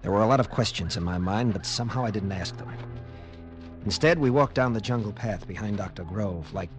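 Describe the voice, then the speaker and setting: A man's voice: fairly low pitch, a little fast, soft background music, close to an everyday voice. An American man playing a wary military pilot lieutenant in slangy, clipped 1940s radio drama style, both in dialogue and narration. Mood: wry, ominous narration. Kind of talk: 1940s radio acting and first-person narration. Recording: noisy vintage radio drama recording.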